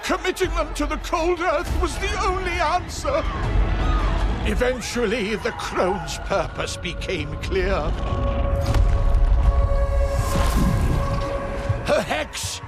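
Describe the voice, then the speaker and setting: A creaky ominous fantasy game storyteller man, slow and super dramatic, music behind. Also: very high-pitched. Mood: grave, dramatic. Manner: theatrical, foreboding. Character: ominous, theatrical storyteller of a cursed village's tragedy. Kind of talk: dramatic video game narration.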